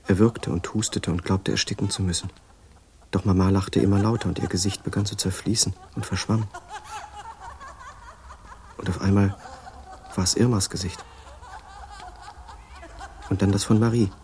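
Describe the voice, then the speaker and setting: A male actor playing a grave storyteller haunted by fear and madness in an audio drama, with a slightly husky voice. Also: deep voice, steady tone, moderate pace, long pauses, darkish tone, lightly acted.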